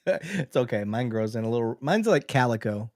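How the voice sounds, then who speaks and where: A middle-aged man hosting a podcast, curious and quick to ask questions and laugh. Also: medium pitch, big pitch swings, no echo, clean studio sound. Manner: curious, asks lots of questions, laughs easily. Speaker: podcast host. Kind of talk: casual chat.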